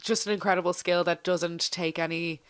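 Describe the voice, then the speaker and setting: Co-host, attentive listener, short affirmations, casual chat, mid-pitched voice. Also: fairly even intonation, moderate pace, fairly bright tone, clean tone.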